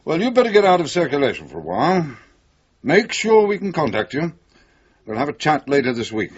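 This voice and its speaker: Casting: senior police chief, dry, husky, varied intonation, in charge, slightly dull radio sound. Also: male voice, on the high side, huge pitch swings, brisk, exaggerated voice. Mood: dry, satisfied. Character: dry, authoritative senior male police boss. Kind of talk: 1980s British radio drama acting. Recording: dull lo-fi radio drama recording.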